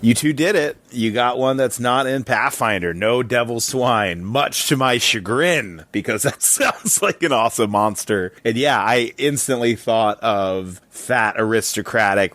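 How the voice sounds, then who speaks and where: A man's voice: fairly low pitch, a little slow, hardly any pauses, fairly bright tone, dry recording. A man leaving a casual, chatty voicemail in an informal, slangy and enthusiastic manner. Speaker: podcaster leaving a listener voicemail.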